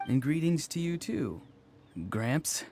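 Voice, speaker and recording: A man's voice: a little high, animated, slow, mellow. A man voicing a polite, naive young man in an anime game, earnest and slightly theatrical, with formal wording. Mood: polite puzzlement. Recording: music behind the voice.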